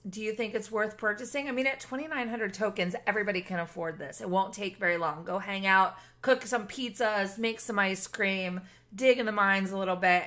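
A woman hosting a gaming video with casual, gushing, expressive commentary aimed at her viewers. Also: medium pitch.

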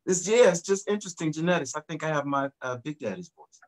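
A middle-aged man, a singer guest, talking in a relaxed, reflective way about his background on a video call from his home studio. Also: a little high, fast talker. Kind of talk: casual video-call interview.

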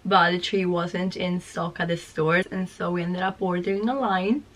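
A young woman chatting casually to camera in her flat while recovering from a cold, hoarse and gushing. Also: lowish voice, moderate pace. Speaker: vlogger. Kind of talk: casual vlog chat. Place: small flat, indoors.

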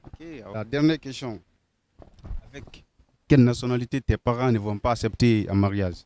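A man's voice: medium pitch, moderate pace, mellow. Young man asking passers-by questions. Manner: friendly, repeats answers back. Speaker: street interviewer. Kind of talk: casual street interview. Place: city streets outdoors. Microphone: handheld microphone.

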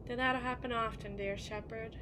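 A woman's voice: a little high, fairly even intonation, moderate pace, noisy background, lightly acted. A woman playing a melancholy, guilt-ridden evil queen in an ASMR roleplay monologue, speaking in formal, introspective phrases to someone she loves. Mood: wistful, brooding.

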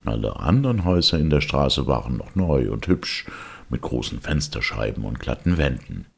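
Male audiobook reader, extremely low and raspy, expressive fairy-tale narration. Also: moderate pace.

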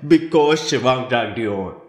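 A man plays a gloating ghost in a radio drama, mid-pitched and mockingly sweet. The recording has a clearly echoey room sound. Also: expressive, unhurried, close to an everyday voice. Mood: taunting. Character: smug, taunting ghost tormenting an actress. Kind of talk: radio play acting.

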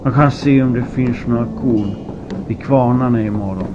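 A man's voice: mid-range voice, lo-fi sound, loud music behind the voice, lightly acted. A man voicing a poor, worried peasant husband in an audiobook, resigned and earnest, speaking emphatically.

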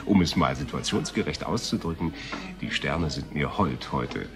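An actor playing a flirtatious, self-satisfied man, smooth-talking and playful, in a radio play. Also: very deep voice, lively intonation, normal speed, music in the background.

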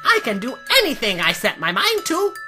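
Woman acting vain but earnest male skeleton, cartoon dub, high-pitched, extremely animated intonation, theatrical, innocent, music behind. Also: fairly quick. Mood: earnest, confident. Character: boastful, innocent, earnest male skeleton, aspiring royal guard. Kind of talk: comic dub character acting.

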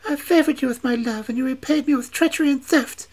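A fierce young witch maiden from a storybook hisses and shrills out threats in a voice pitched far above a man's own, as a male reader puts her on. She sounds scornful and merciless, the words pushed hard and loud. It is plainly a different character from the reader. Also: fast talker. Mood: vengeful. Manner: shrill, high, seething threats.

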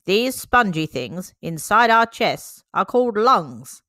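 A woman reading the lines of a brisk, no-nonsense male dog doctor in a picture book, firm and explanatory. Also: medium pitch, sing-song, bright, forceful tone, no echo, studio quality, exaggerated voice. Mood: lecturing.

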